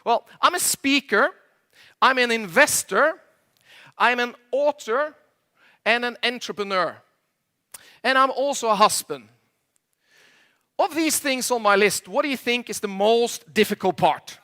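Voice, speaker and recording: A high-voiced middle-aged Norwegian man speaking English with a Norwegian accent as a second language. His tone is bright and keen. He works a live conference crowd from the stage, cracking jokes and throwing questions at the audience. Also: expressive, measured pace, pauses often. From Norway. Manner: jokey, quizzes the audience, slightly imperfect English. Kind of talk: stage presentation with audience banter.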